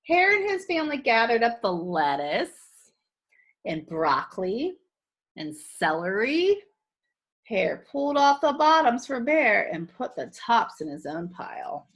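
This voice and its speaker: A woman reading a picture book aloud to children in a teacherly, expressive way, pausing to ask them questions. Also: a little high. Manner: animated, teacherly. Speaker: read-aloud storyteller for children.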